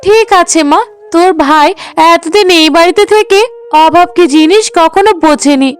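A very high-pitched, aggrieved older mother played by a woman in a cartoon melodrama. She complains bitterly, with open scorn for those who disobey her. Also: normal speed. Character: bitter, disapproving older mother of a grown son.

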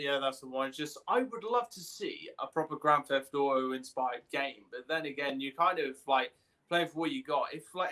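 A man in his twenties or thirties co-hosting a casual podcast over a video call, halting and informal. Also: on the high side, sharp tone. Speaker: podcast co-host. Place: small room at home, on a video call.

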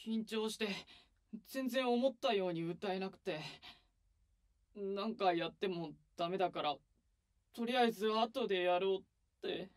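A man playing an excitable, earnest young male idol in a voice drama, with a boyish, eager delivery. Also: high-pitched, measured pace, pauses often. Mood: dejected. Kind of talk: Japanese voice drama acting.

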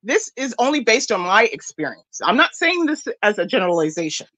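A woman with an American accent, from Nigeria, calls in and argues her case with insistence. Her pitch rises and falls a great deal, and her voice has a bright, forceful edge. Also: big pitch swings, fairly quick, poor audio. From Nigeria, raised in the United States. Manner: insistent, repeats her point. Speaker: caller. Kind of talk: phone-in debate.

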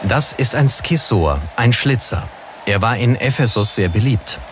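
A man's voice: medium pitch, lively intonation, a little slow. A man reading scripted documentary narration as the narrator. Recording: music behind the voice.

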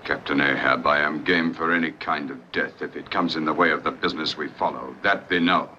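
Casting: a sober whaling mate, very low voice, restrained and earnest, muffled vintage film drama sound. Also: male voice, steady tone, a little fast, bright, forceful tone, heavily acted. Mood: grave, defiant. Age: middle-aged. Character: sober, principled, steadfast whaling ship's first mate. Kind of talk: 1950s film drama acting. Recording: dull, noisy 1950s film soundtrack.

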